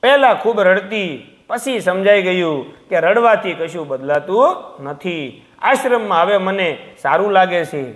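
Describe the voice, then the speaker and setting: A man voicing a serene, welcoming elderly woman in a moral story, with a calm, accepting manner. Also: high-pitched, unhurried. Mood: calm acceptance.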